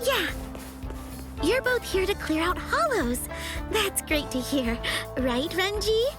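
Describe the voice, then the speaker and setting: An actress playing a sweet, excitable, kindly teenage girl in an anime game dub, eager and girlish. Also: very high-pitched, slow, full character voice. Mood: cheerful, eager. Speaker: video game voice actor. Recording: music behind the voice.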